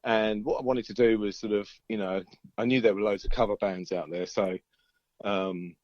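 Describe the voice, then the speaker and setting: A man's voice: fairly low pitch, steady tone, moderate pace, darkish tone, no echo. An easygoing man chats casually as an interviewed band musician, rambling and often hesitating over his words. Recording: dull lo-fi call audio.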